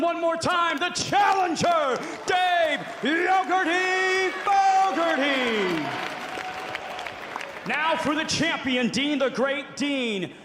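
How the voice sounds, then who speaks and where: High-voiced booming event MC. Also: male voice. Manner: booming drawn-out name calls. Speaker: ring announcer and interviewer. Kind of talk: hyped event MC. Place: large hall with crowd. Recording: echoey hall, loud crowd noise.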